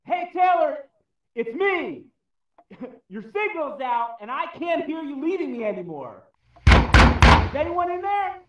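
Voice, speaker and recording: Anxious everyman, high-pitched and echoey. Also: big pitch swings, slow, pauses often, a bit bright, exaggerated voice. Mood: urgent, pleading. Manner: rambling, hesitant, earnest. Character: anxious, pleading, well-meaning everyman on a mission. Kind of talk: VR game radio-drama acting. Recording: echoey, distant, muffled.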